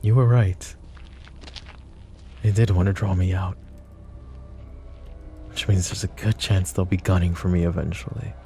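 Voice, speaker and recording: A man playing a flirty, possessive mafia boss who is protective and menacing, in an intimate roleplay ASMR style with smooth-talking, controlled menace. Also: sits low, steady tone, a little slow, long pauses, mellow, soft background music. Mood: angry, threatening.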